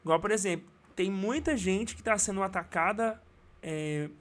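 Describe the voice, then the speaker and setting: A man with a Brazilian Portuguese accent teaching singing in a live online class, talking casually with many restarts and quick checks. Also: high voice, fairly bright tone. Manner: casual and rambling, many restarts and tag questions.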